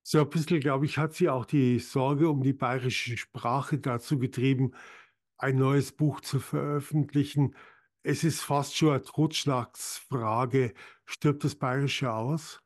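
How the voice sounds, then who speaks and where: A man's voice: medium pitch, steady tone, unhurried, darkish tone. A man hosting a podcast, reading introductions and putting prepared questions to a guest. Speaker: podcast host, interviewer.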